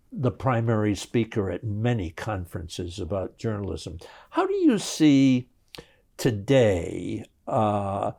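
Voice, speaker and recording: Mellow-voiced male interviewer. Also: on the low side, gravelly. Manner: courteous, measured questions. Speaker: talk show host. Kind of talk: interviewing a guest.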